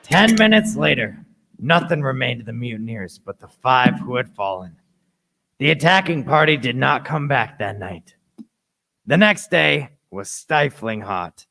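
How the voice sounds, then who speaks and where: A man's voice: medium pitch, sing-song, unhurried, creaky, sharp tone, dry recording, full character voice, low-quality recording. A man narrating an adventure radio play in scripted, first-person storytelling.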